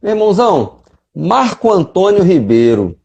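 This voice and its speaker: A man's voice: sits high, sing-song, normal speed, creaky, a little mellow, dull audio. A man hosting a casual interview, talking in a slangy, joking, buddy-like way. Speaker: host.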